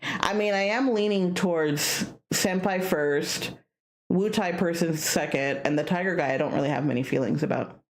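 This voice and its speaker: A woman streamer with a playful, animated manner, reading a visual novel aloud with casual asides. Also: fairly low pitch, moderate pace.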